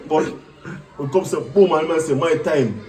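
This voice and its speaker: A man with a Jamaican accent telling stories casually in Patois in an animated way, acting out what people said. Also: fairly high pitch, normal speed, music in the background. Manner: animated, acts out quoted speech. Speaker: musical artist telling career stories. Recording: distant mic with faint background noise.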